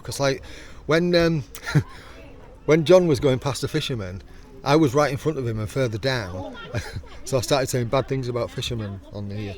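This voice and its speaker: A man's voice: mid-range voice, a little mellow. A British man chatting casually to camera as a vlogger testing gear, joking in a chummy way with friends. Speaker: vlogger presenting a product test. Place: outdoors on a canal, in a kayak. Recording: clear outdoor water and boat noise.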